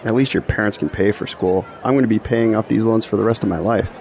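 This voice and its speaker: A man's voice: lowish voice, fast talker, vocal fry, darkish tone, a faint crowd. An actor playing a self-deprecating young male graduate in an audio drama, with a dry delivery. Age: young adult. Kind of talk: scripted audio drama dialogue. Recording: faint outdoor background noise.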